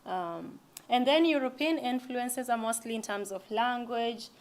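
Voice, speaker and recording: A woman's voice: a little high, a little slow. A young woman giving a casual library lecture, talking conversationally with frequent restarts. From East Africa. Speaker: language presenter.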